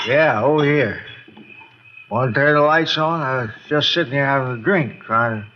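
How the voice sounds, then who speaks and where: A man's voice: medium pitch, normal speed, noisy background, lightly acted. Man acting a rattled, rambling folksy older neighbor. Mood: shaken, weary. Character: shaken, folksy older male neighbor nursing a headache. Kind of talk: 1950s radio drama acting. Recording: muffled old radio recording.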